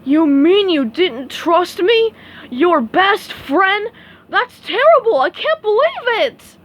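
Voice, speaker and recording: A woman voicing a big, clumsy, goofy male starfish who talks loudly and emphatically as she reads a storybook. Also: sits high, expressive, normal speed. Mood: indignant. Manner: loud and emphatic.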